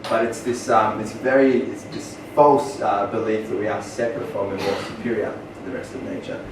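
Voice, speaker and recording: A man's voice: fairly low pitch, steady tone, normal speed. Australian man giving a talk, echoey. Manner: earnest, with many ums and restarts. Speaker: speaker. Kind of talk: public presentation. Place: small venue, far from the mic. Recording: echoey room from far off, noisy.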